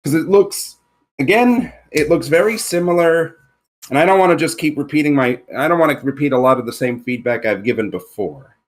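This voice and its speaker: A man's voice: a little high, animated, brisk. A man giving casual feedback in an online meeting, hesitant and a little reluctant as he picks his words. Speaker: participant giving script feedback.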